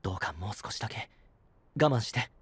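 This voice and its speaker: Gentle young knight, lively intonation. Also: male voice, lowish voice, a little fast, husky, dry recording, exaggerated voice. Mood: earnest pleading. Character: gentle, responsible young male knight, guardian of a troubled kingdom. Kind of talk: Japanese anime-style audio drama acting.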